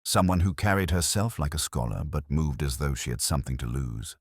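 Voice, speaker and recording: A man playing a reflective, observant police inspector who narrates a detective audio drama in a measured, literary, brooding manner. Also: rumbling low, slightly rough, dry recording, studio quality, exaggerated voice. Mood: pensive, measured.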